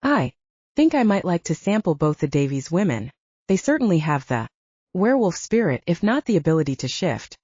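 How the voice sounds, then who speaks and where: A menacing male werewolf alpha from an audiobook, read by a woman, smug and sneering. The voice is mellow and goading, with swinging intonation. The sound is slightly dull. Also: on the low side, sing-song, moderate pace, breathy edge, no room sound. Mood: smug, threatening.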